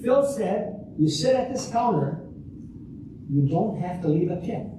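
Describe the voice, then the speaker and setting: An older man playing a stingy, scheming husband in an amateur comedy reading, halting and folksy as he repeats himself. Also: high-pitched, lively intonation. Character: stingy, scheming old husband at a diner counter. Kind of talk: amateur reader's theater comedy performance. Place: large echoey room, live stage reading. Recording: echoey hall from far off, noisy.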